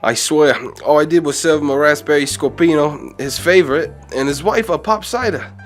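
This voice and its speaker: A man's voice: on the high side, moderate pace, soft background music, natural voice. A man playing a nervous, defensive hotel bartender who stammers and pleads in an amateur radio drama. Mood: nervous, pleading.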